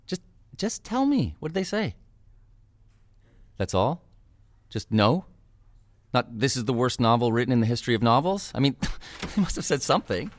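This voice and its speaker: An actor playing a bitter, sarcastic novelist stuck in an office job, rambling and melodramatic in a satirical audio drama. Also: male voice, mid-range voice, sing-song, normal speed, long pauses, natural voice. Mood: desperate, indignant.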